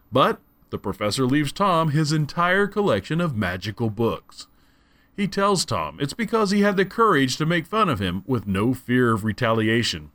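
Lively, enthusiastic male reviewer. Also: fairly high pitch. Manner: enthusiastic, conversational. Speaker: audiobook reviewer. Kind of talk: casual spoken review.

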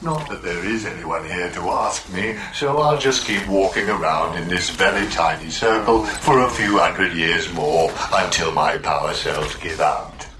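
An actor playing a weary, pessimistic male robot in a radio play, speaking in a flat, despondent drawl. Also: deep voice, measured pace, hardly any pauses, crowd noise. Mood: glum. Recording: hissy radio play recording.

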